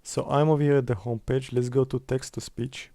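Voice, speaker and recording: A man's voice: medium pitch, fairly even intonation, a little fast, dark tone, no room sound. A man presenting a casual step-by-step screen tutorial in a conversational way.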